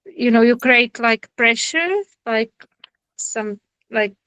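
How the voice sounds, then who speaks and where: Slow-talking woman with a Czech accent. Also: a little high, a bit bright, clean tone, dry recording, rough recording. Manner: halting, searching for words, friendly. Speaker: somatic therapist giving a lecture. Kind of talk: informal online lecture and Q&A. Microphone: video call.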